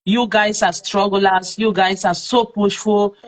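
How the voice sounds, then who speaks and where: A woman's voice: mid-range voice, little pitch movement, measured pace. A Nigerian woman hosting a live-streamed talk show, chatting with followers and leaning on plenty of fillers. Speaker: host.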